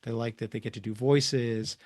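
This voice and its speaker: A middle-aged man telling stories casually as an author guest in an interview, animated and prone to acting out dialogue. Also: medium pitch, moderate pace. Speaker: guest, comics and children's book author.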